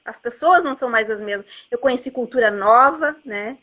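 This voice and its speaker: Chatty woman, higher voice. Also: brisk, pushed and bright. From southern Brazil border region. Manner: rambling, lots of "né" and "assim, ó". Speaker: bookseller interviewing an author. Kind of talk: casual book chat.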